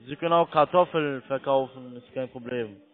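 A man's voice: mid-range voice, moderate pace. A man who is a non-native German speaker, making an insistent, blunt sales call as a call-centre agent. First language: not German. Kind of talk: unscripted sales phone call. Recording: tinny narrowband phone line.

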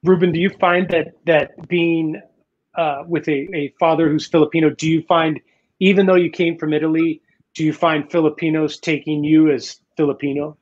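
Host: man, 40s, slightly high voice, chatty and rambling, dull lo-fi webcam audio. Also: flat delivery, normal speed. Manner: chatty, rambling questions with many restarts and "you know". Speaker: talk show host. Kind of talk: live-streamed interview. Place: home room in Manila, indoors. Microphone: video call webcam.

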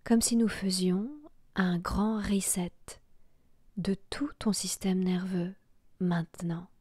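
A woman guiding a relaxation meditation in a soft, breathy, half-whispered voice, hushed and soothing, with long pauses. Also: lowish voice, level tone, no room sound. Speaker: yoga nidra guide.

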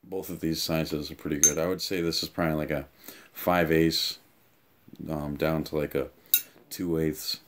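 A man in his thirties giving a casual, offhand unboxing and review, explaining things haltingly in a room at home. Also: very deep voice, little pitch movement, slight background noise. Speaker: tool reviewer and vlogger. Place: small home room, indoors.

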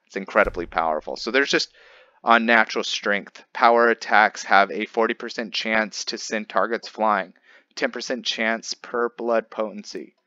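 A man giving casual gameplay commentary as host, thinking aloud in a halting way. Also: on the low side, pushed and bright, dry recording, lo-fi sound. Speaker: gaming video host.

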